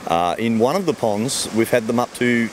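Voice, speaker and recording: A man's voice: medium pitch, lively intonation, normal speed, slight background noise. A middle-aged Australian man, a fish farmer, answering questions in an easygoing way outdoors by the ponds, speaking into a handheld mic. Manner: easygoing, explains simply with everyday comparisons. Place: outdoors beside farm ponds. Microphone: handheld mic held by interviewer.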